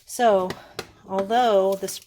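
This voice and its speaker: Woman reviewing a product over clear background noise. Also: medium pitch, fairly even intonation, drawn-out words, mellow. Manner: conversational, explains step by step. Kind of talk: casual unscripted product demonstration. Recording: clearly noisy recording with clicks.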